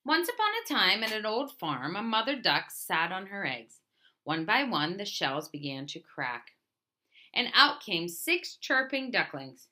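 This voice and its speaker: Bright-voiced woman reading a storybook. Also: medium pitch, big pitch swings, unhurried. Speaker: storybook reader. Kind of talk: scripted children's storybook read-aloud.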